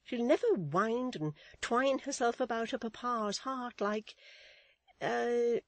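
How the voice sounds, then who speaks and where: A high-pitched, swooping society matron from a Victorian novel. She is pompous and fretful, declaiming each point emphatically and lamenting with great self-pity. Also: big pitch swings, measured pace, darkish tone, breathy edge, dry recording, heavily acted. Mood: confiding disdain. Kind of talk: dramatized Victorian novel reading.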